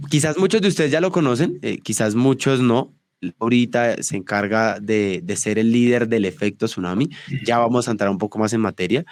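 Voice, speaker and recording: A man's voice: medium pitch, no echo. Upbeat male podcast host. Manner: upbeat, keeps saying "súper" between the guest's answers. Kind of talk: podcast interview hosting.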